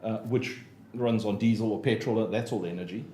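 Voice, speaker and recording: A man's voice: lowish voice, moderate pace, dark tone. A man from South Africa with a South African accent, chatting as a podcast guest and often laughing and trailing off. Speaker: podcast guest, business consultant. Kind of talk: casual interview chat. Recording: echoey room with faint background noise.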